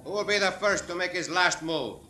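An actor playing a dashing heroic caballero in a vintage radio western, suave and commanding. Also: male voice, high-pitched, brisk, close to an everyday voice. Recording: old radio broadcast, faint noise.

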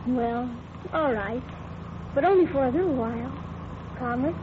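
Actress as girlish fifteen-year-old, very high voice, timid, innocent, radio drama, tinny sound. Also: normal speed, natural voice. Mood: sweet, shy. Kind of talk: 1940s radio acting. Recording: tinny vintage radio, background noise.

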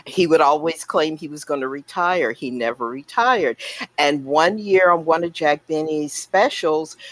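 A woman in a casual group chat, telling an anecdote in a relaxed way. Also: low voice, measured pace, no echo.

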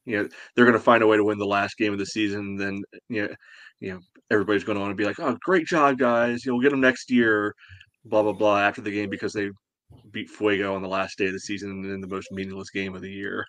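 A man with a fairly low voice talks rapidly in casual sports chat. The sound is dry, with no room echo, and his manner is sardonic and dismissive. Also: fairly even intonation, a bit bright. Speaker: podcast co-host, sports fan. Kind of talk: casual soccer fan discussion.

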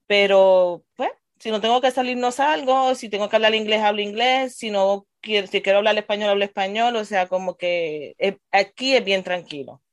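A woman with a Puerto Rican accent, a teacher, chatting casually and telling animated stories, dropping English words into her talk. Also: mid-range voice, moderate pace, hardly any pauses, no echo. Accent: Puerto Rican Spanish. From Puerto Rico. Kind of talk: casual chat.

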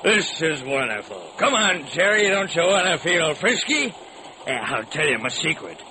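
An actor playing a jolly drunk at the bar, drawling out rhymes in an old-time radio comedy. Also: male voice, on the high side, big pitch swings, moderate pace. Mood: tipsy, jolly. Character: tipsy, jolly man rhyming at the bar. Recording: noisy vintage radio recording.

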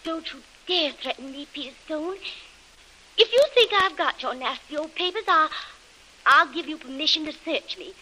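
A defiant Southern belle in a vintage radio adventure. Her Southern-accented voice is high, animated and bright, full of sugary indignation. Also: normal speed, poor audio. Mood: indignant denial. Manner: indignant, affected sweetness. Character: defiant, coquettish Southern belle held captive. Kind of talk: old-time radio adventure serial acting. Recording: hissy vintage radio recording.

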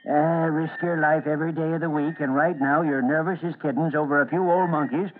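A man's voice: fairly high pitch, steady tone, fairly quick, close to an everyday voice. An actor playing a folksy, cocky old carnival animal man, teasing and rambling, in old-time radio drama style. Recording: tinny, noisy old radio recording.

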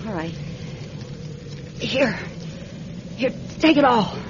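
A dull radio drama recording with faint noise carries a fairly high-voiced, anxious woman. She is a secretive courier stranded out in the wild, hesitant and pleading in her delivery. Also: expressive, slow pace. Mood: worried.

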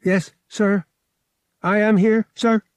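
Prim sci-fi audiobook droid, played by a male reader: mechanical, pitched high, deferential, anxious, fussily formal. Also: fairly even intonation, takes it slowly, clear, resonant tone, dry recording. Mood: shaken, prim. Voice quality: mechanical tones.

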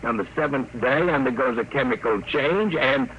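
An actor playing a cold, bullying kidnapper, curt and commanding, in old-time radio drama style. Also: male voice, medium pitch, normal speed, a bit bright, lo-fi sound, close to an everyday voice. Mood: smug menace. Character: cold, bullying masked gangster kidnapper, menacing and smug. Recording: slightly noisy old radio broadcast.

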